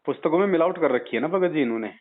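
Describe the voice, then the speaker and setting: A man's voice: a little high, expressive, rapid-fire. A man speaks in an insistent, admonishing way, counselling someone on religion and repeating his points for emphasis. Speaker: religious adviser answering a follower's phone call. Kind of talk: persuasive phone conversation, religious counselling. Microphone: phone call. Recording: tinny, narrow phone-line call recording.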